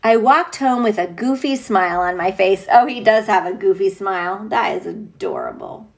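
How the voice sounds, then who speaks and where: A woman teacher reading a picture book aloud to young children, expressive and playful, with gushing, chatty asides. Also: medium pitch, moderate pace.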